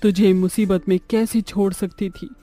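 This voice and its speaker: A man's voice: high-pitched, flat delivery, moderate pace, dark tone, soft background music, lightly acted. A man voicing a fiercely protective, vengeful ghost mother turned witch, with menacing dramatic delivery in a horror cartoon. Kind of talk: Hindi horror cartoon acting.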